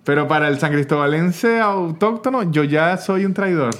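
A man's voice: a little high, normal speed. A man from San Cristóbal, Venezuela, with an Andean Venezuelan accent, a podcast guest chatting casually and laughing as he tells stories. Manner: laughing, animated storytelling. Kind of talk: casual chat.

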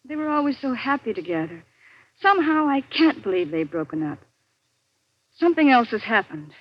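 An actress playing a worried, stubborn young married niece in 1940s radio suspense, earnest and dramatic. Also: female voice, on the high side, very animated, normal speed. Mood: worried, puzzled. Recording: muffled, noisy old radio recording.

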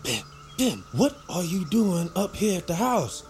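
An actor playing an excitable, protective father with a stammering, colloquial, emotional delivery in an audio drama. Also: high-pitched, a little slow, over loud music. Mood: fearfully protective.

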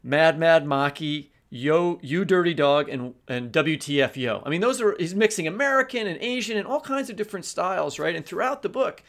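A man's voice: a little high, lively intonation, moderate pace, few pauses, a bit bright. A man co-hosting a literary podcast, discursive and restarting sentences as he builds his point. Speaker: podcast co-host, teacher.

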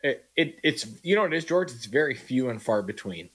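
A man co-hosts a sports radio show from the studio. His voice sits at a middle pitch. He chats casually, reads out texts from listeners and adds his own take, often stumbling over a word or two. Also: very animated, a little fast, fairly bright tone, slightly rough. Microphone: studio mic.

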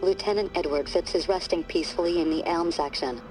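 Woman acting a low-pitched, courteous automated announcer. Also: fairly quick, over music, lightly acted, rough recording. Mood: polite, impersonal. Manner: polite, scripted, impersonal. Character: automated cemetery announcer, courteous female machine. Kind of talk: automated announcement in an audio drama.

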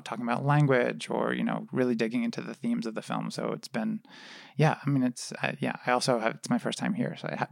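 A man with a heavily gravelly, creaking voice at an ordinary pitch speaks as a filmmaker guest. He is understated and hesitant, in casual conversation, recorded very dry. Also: dry recording.

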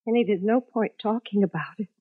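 An actress playing a weary, loving young wife, earnest and gently reproachful, in a 1950s radio drama. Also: female voice, mid-range voice, brisk, natural voice. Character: long-suffering, loving young wife and mother, weary, patient. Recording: dull 1950s radio recording.

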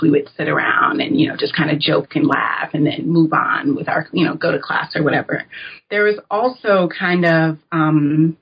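A low, husky woman in her thirties talks through her research in a loose, animated way, full of fillers and quick jumps up in pitch. The sound is dull and lo-fi. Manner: animated and chatty, with many fillers, rising in pitch when amused. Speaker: author guest, political science professor. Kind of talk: conversational interview. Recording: dull lo-fi audio.

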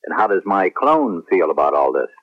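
A man's voice: low-pitched, sing-song, normal speed. An American man acting a spaceman in a science fiction radio drama of the 1970s. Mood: skeptical. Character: celebrated space hero captain, and his earnest clone. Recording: muffled lo-fi 1970s radio broadcast.

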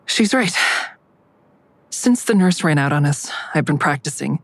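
Low-voiced, guilt-ridden daughter. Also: female voice, animated, measured pace, heavily acted. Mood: tense. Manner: hesitant, confessional, plainspoken. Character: guilt-ridden grown daughter, hesitant, confessional, grimly candid. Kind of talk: audio drama acting with first-person narration.

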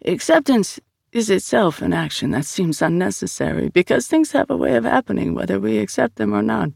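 Narrator doing a gentle otherworldly monk guy, audiobook, slow halting delivery, pitched up, bit gravelly. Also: expressive, no echo, studio quality, exaggerated voice. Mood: serene, earnest. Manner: halting, searching for words, philosophical. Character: serene, hesitant otherworldly religious acolyte, a male. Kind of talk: audiobook character dialogue.

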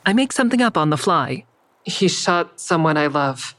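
A woman's voice: deep voice, expressive, normal speed, a bit bright, dry recording. A woman playing a wary, stubborn mother who narrates her risky investigation in the first person in an audio drama. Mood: guarded, uneasy.

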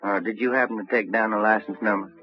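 A man's voice: lowish voice, fairly even intonation, fast, husky. A man playing a plain-spoken, businesslike police detective in a vintage radio drama, short and direct. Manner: direct, clipped. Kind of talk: 1950s radio drama acting. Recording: muffled vintage radio recording.

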